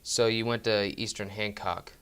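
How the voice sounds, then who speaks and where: A man's voice: fairly low pitch, fairly even intonation, normal speed, fairly bright tone, smooth. A teenage boy interviewing his grandfather, asking friendly questions that sound prepared in advance. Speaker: student interviewer. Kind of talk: student interview.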